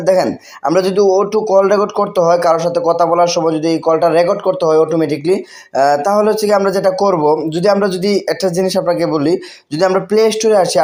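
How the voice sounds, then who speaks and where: Bangladeshi guy doing a phone how-to, talks quick and high, repeats himself, throws in english words. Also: a little mellow. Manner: repeats phrases, peppers speech with English words. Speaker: tech tutorial host. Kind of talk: casual spoken screen-recording tutorial.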